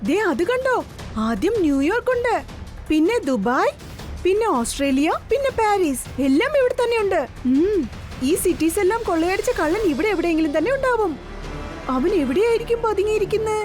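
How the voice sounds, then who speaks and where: A woman's voice: very high voice, very animated, fairly quick, darkish tone, faint music behind. A woman voicing a plucky, commanding young boy superhero in a dubbed children's cartoon. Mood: alert. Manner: urgent, decisive.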